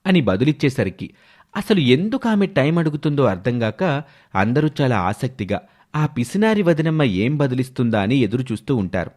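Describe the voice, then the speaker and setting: A man's voice: mid-range voice, very animated, normal speed, a little mellow. A man narrating a scripted story as the storyteller.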